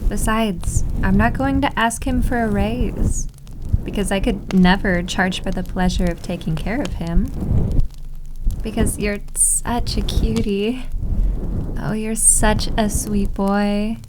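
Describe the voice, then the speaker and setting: A woman's voice: mid-range voice, steady tone, slow pace, background noise, natural voice. A woman playing a doting, possessive, seductive yandere maid in roleplay ASMR, cooing and teasing in a syrupy way. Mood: sweetly possessive.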